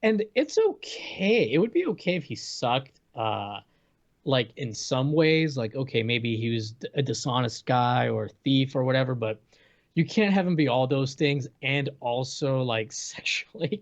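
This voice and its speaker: A man's voice: medium pitch, expressive, moderate pace. Slightly dull-sounding man, casual hesitant film chat. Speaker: co-host of a movie review show. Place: indoors, video call.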